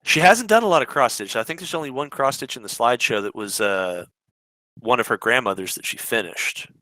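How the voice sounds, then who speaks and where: A man's voice: medium pitch, lively intonation, a little fast, bright, forceful tone, no room sound, low-quality recording. A man chatting casually as a guest on a podcast. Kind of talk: casual chat.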